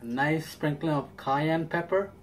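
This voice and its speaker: A man's voice: on the high side, unhurried, slight background noise. A young man hosting a cooking channel, walking through a recipe step by step in a friendly, upbeat way in a small home kitchen. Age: young adult.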